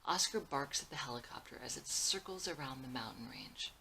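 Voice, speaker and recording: A woman with a low, crisp-edged, bright voice narrates a film script. She reads out scene headings and action lines in a scripted style. There is faint noise behind her. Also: expressive, a little fast. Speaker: screenwriter reading own script.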